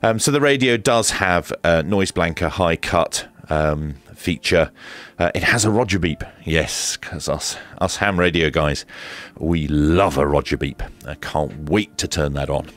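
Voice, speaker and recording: Low-voiced man, casual unboxing commentary. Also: unhurried, a bit bright. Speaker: radio reviewer and presenter.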